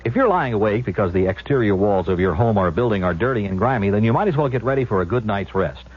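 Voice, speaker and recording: A man's voice: on the low side, animated, fast. A man reading a scripted advertisement as a 1970s radio announcer. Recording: dull old radio broadcast recording.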